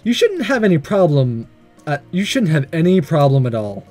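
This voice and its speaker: A man's voice: fairly high pitch, sing-song, moderate pace, a little mellow. Man reading as a coy, flirty female teacher. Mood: coy, inviting. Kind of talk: visual novel read-aloud. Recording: faint music behind.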